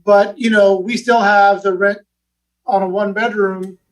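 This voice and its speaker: A man's voice: sits high, little pitch movement, measured pace, distant mic, rough recording. A man in his forties from Los Angeles, a guest telling his story in an easygoing, chatty podcast interview. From West Los Angeles. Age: 40s. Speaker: guest, real estate investment advisor.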